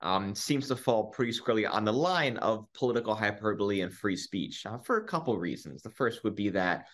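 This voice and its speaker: Male advocate, 30s, lowish voice, interviewed over video call. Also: lively intonation, fairly quick. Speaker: free speech advocate, interviewee. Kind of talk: interview answers. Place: small indoor office.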